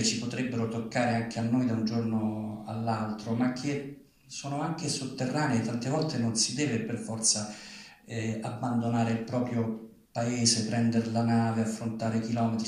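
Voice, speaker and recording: A man speaking and reading at a live literary event, with a halting delivery. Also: mid-range voice, a little mellow. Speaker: writer reading at a public event. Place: large reverberant venue, live event. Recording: very echoey hall, distant from microphone.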